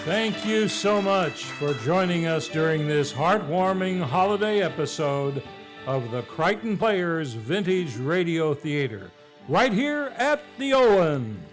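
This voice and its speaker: Male announcer, high voice, scripted credits read, live theater stage, faint music behind. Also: big pitch swings, takes it slowly. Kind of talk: scripted radio show closing credits.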